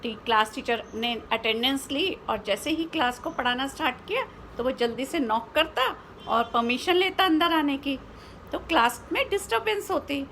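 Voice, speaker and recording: A woman's voice: high-pitched, expressive, normal speed, a bit bright, a little hiss or hum. A woman with an Indian accent telling a children's story informally, explaining as she goes with rhetorical questions and mixed-in English words.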